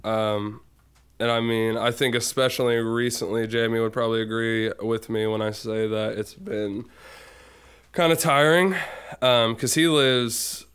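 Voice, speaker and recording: A man's voice: medium pitch, fairly even intonation, unhurried, a bit bright, clear, resonant tone. A man talking as a guest musician in a casual podcast chat, rambling and full of fillers.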